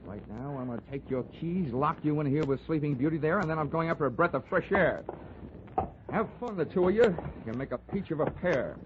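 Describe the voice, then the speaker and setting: A man's voice: on the high side, animated, fairly quick, background noise, lightly acted. An American man playing a wisecracking hard-boiled investigator in a 1940s radio drama, sardonic and slangy as he narrates and talks. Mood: taunting, tough. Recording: tinny 1949 radio recording, music behind.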